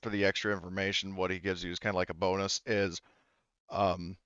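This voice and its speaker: Male game master, casual and halting, rambling through rulings; smooth lower voice, slightly dull sound. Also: flat delivery, fairly bright tone, no echo. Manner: hesitant, rambling. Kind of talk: casual tabletop game narration.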